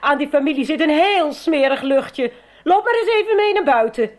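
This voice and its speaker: An actress playing a breezy, sharp-tongued mother who likes her sherry, in a radio soap. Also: high voice, expressive, moderate pace. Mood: scornful.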